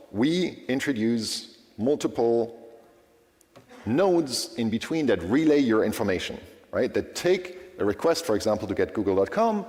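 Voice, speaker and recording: Man, German native speaker, conference talk, lively swooping pitch, long hall reverb. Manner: lively, conversational, frequent "right?" checks with audience.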